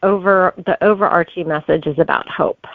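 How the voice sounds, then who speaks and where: A woman's voice: on the low side, steady tone, moderate pace, narrow, tinny audio. A woman answering conversationally as a guest author in an interview.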